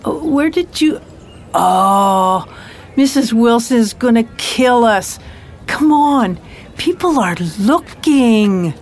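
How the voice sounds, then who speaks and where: A woman plays a bossy, overburdened sister caring for her sibling in an audio drama. She speaks high and very slowly and deliberately, scolding with weary impatience. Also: animated, darkish tone, slight background noise. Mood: exasperated. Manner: scolding, exasperated.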